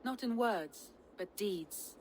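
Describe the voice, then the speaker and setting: An actress playing a stoic Norse noblewoman with an adventurous heart, speaking earnestly in measured, formal phrases for a video game. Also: medium pitch, lively intonation, darkish tone, a little hiss or hum. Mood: tender. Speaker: game character. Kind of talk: dramatic game cutscene acting.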